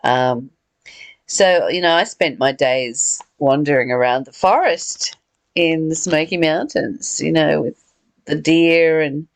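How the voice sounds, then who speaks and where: A woman's voice: deep voice, slow, no echo. A woman leading a reflective spiritual discussion, earnest and given to rhetorical questions and repeated phrases. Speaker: study group leader.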